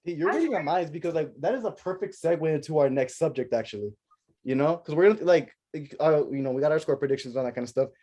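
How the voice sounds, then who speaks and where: A young man with a relaxed, mellow voice hosts a loose podcast conversation. Spanish was his first language. He tells stories with plenty of fillers and acts out the reactions along the way. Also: a little high, quick pace. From United States. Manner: animated storytelling with many fillers and acted-out reactions. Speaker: podcast host. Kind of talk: casual chat.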